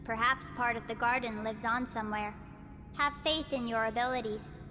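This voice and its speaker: A woman playing a calm, upbeat guide who explains things in a formal, measured way. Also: fairly high pitch. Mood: explaining. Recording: long reverb with music behind.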